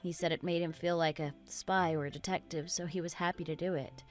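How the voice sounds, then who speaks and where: A woman narrating a scripted first-person story as a troubled wife recounting her suspicions in a measured way. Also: sits low, fairly even intonation, faint music behind, lightly acted.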